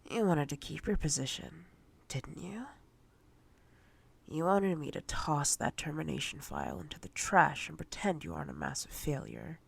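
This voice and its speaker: A woman playing a domineering, menacing corporate boss in a breathy roleplay ASMR whisper, commanding and condescending in a deliberate way. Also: deep voice, very animated, full character voice. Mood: cold, commanding.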